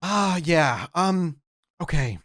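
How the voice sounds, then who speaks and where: A man's voice: a little high, lively intonation, a bit bright, no echo, studio quality, voice pushed up. A man voicing a nervous, eager young male vampire who stammers and repeats himself. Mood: flustered. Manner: halting, stammering, repeats words.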